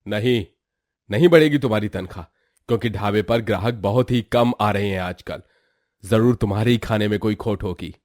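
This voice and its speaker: A man's voice: lowish voice, a little fast, darkish tone, no room sound, studio quality, pitched below the performer's own voice, natural voice. A man voicing a grumpy, stingy eatery owner in a moral story, scolding and dismissive. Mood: grumpy refusal. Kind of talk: acted moral-story dialogue.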